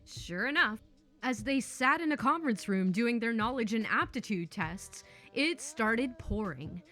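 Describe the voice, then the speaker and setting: A woman's voice: a little high, sharp tone. A woman reading online stories aloud with playful, chatty asides between them. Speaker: story narrator.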